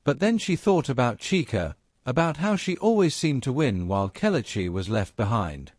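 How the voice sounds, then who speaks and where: Man narrating a story, lively intonation. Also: mid-range voice, moderate pace, no room sound, studio quality. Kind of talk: scripted dramatic story narration.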